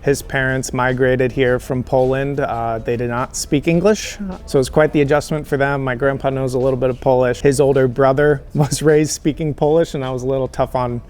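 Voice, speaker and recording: A friendly man answers interview questions in an easy, conversational way, pausing with ums along the way. His voice sits at an ordinary pitch over faint background noise. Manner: friendly, relaxed, frequent ums and light laughs. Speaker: healthcare recruiter, interview guest.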